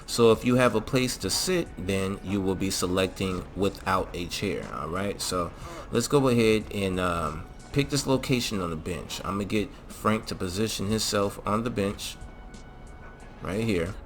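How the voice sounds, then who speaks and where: A man's voice: low-pitched, normal speed, soft background music. A man hosting a gaming channel, walking through a mod tutorial in a casual way with lots of fillers and a repeated "all right?".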